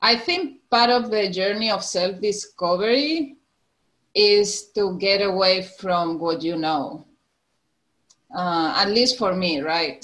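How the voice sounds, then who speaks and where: A woman's voice: mid-range voice, fairly bright tone, rough recording. A woman in her forties from the Canary Islands with a Spanish accent, a guest in a casual interview who laughs often and restarts her sentences. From Spain, Canary Islands. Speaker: podcast guest.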